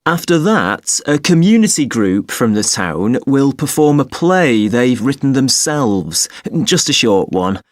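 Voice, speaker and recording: Polite box office clerk. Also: male voice, mid-range voice, sing-song, unhurried, few pauses, no room sound, studio quality. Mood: helpful, polite. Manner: clear, courteous, informative. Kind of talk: scripted listening-test phone dialogue.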